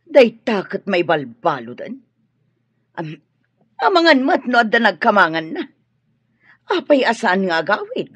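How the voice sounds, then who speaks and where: Woman doing a nervous fretting wife, breathy voice that jumps around, audio drama. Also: medium pitch, wildly swooping pitch, unhurried, pauses often, a bit bright, full character voice. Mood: anxious. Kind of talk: Ilocano audio drama acting.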